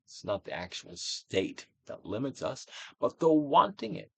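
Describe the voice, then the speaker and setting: A man's voice: mid-range voice, big pitch swings, a little slow, vocal fry, breathy edge, no echo. Theatrical male reader with sweeping intonation. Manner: theatrical, emphatic, swinging from loud declaration to hushed phrases. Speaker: actor reading a book aloud. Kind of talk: dramatic audiobook reading of a self-help book.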